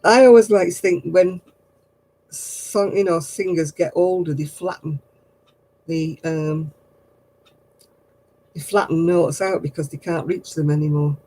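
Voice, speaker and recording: Older woman, low husky voice, mellow dark tone, rambling gossipy chat. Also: measured pace, pauses often, low-quality recording. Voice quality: low, husky voice after throat surgery. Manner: rambling, chatty, gossipy with little laughs. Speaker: craft livestream host. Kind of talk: casual chat answering viewer comments.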